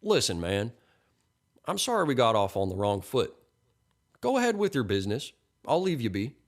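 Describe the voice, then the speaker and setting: A man's voice: mid-range voice, huge pitch swings, normal speed. A man voicing a friendly, pushy, folksy middle-aged stranger in an audiobook story, with a funny accent. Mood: folksy, apologetic. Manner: folksy, chummy, coaxing.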